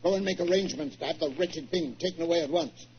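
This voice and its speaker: An actor playing a wealthy, excitable older British collector with a stammering, blustery manner in a 1940s radio drama. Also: male voice, a little high, quick pace, lightly acted. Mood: grudging, gruff. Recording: dull, noisy 1940s radio recording.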